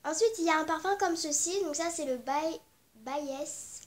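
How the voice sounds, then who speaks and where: A woman's voice: sits high, moderate pace. A young teenage girl from with a cold, chatting casually and rambling about her beauty products, apologetic and full of fillers. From Réunion. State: has a cold, stuffy. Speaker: beauty vlogger. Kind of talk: casual beauty vlog chat.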